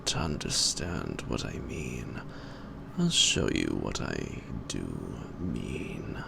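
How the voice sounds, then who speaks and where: Very deep, gravelly gentleman vampire. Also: sing-song, exaggerated voice. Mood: menacing, condescending. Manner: pompous, taunting, drawn-out, sometimes whispered. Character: suave, psychotic gentleman vampire, mocking and predatory. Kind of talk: theatrical roleplay monologue to the listener. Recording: noisy amateur home recording.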